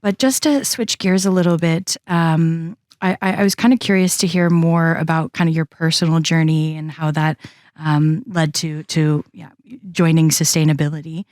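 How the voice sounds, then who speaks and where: Low-voiced woman hosting a podcast. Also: fairly even intonation, moderate pace, a little mellow, no room sound, studio quality. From Florida. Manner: friendly, hesitant questioning with fillers. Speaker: podcast host. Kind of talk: casual podcast interview.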